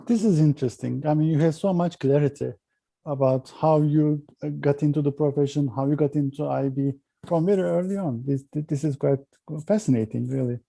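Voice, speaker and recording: Interviewer, short friendly questions, deep dark gravelly voice, dry sound. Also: fairly quick, no room sound. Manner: brief, encouraging questions.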